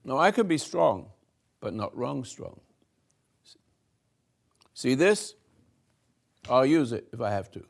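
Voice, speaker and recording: Older guy, gravelly voice, real slow spiritual talk, stops and restarts a lot. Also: mid-range voice, big pitch swings. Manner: halting, repeats and restarts words, swings from soft to loud. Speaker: spiritual teacher hosting a talk.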